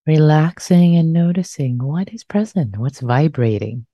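A woman guides a meditation in a soft, breathy and mellow voice. She takes her time, speaking very slowly and gently repeating short phrases. The sound is dry and intimate. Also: sits low, expressive, no echo. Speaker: meditation teacher.

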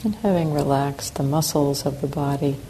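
A woman teaching a guided meditation, giving calm, unhurried instructions with long pauses between phrases. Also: sits low, poor audio. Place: meditation center hall. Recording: clear steady background noise.

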